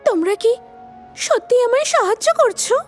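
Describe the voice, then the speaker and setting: A woman's voice: extremely high pitch, lively intonation, normal speed. A woman playing a frightened, distrustful captive female cheetah in a cartoon dub, pleading and agitated, with music behind her. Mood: wary.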